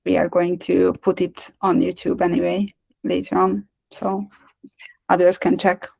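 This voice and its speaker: A woman's voice: lowish voice, completely flat delivery, unhurried, gravelly, darkish tone, slightly rough, poor audio. A woman hosting an online meetup on a video call, talking in a hesitant way with many restarts and fillers. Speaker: meetup host. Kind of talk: casual chat on a video call.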